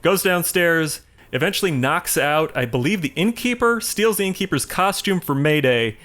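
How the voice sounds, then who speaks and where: Higher-voiced man recapping casually. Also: animated, normal speed, a bit bright. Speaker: podcast co-host. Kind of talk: casual film recap discussion.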